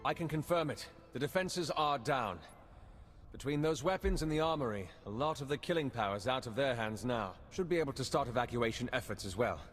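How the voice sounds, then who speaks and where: An actor playing an earnest, plain-spoken undercover agent in a video game. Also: male voice, medium pitch, expressive, brisk, a bit bright, faint music behind, natural voice. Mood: urgent, businesslike. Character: earnest male undercover intelligence agent in space war. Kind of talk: dramatic video game dialogue. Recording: long reverb, background hum and ambience.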